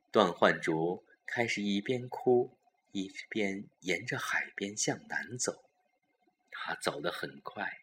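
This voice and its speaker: A man narrating a scripted audio drama in a measured, suspenseful manner. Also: lowish voice, fairly bright tone, slight background noise.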